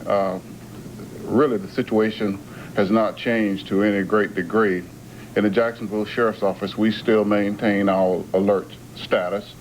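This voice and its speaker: A man speaking as a sheriff's official at a public briefing, in a measured, halting way. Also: fairly low pitch, steady tone, poor audio. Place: press briefing at emergency operations center. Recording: faint noise, archived TV broadcast tape.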